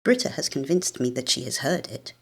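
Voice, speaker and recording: A woman's voice: a bass voice, animated, a little fast, breathy edge. A British woman narrating a literary short story as a dramatic reading.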